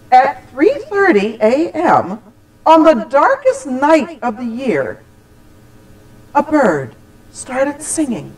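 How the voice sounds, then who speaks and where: A woman telling a scripted personal holiday story, with deliberate, expressive, dramatic phrasing. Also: sits high, poor audio. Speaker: church storyteller.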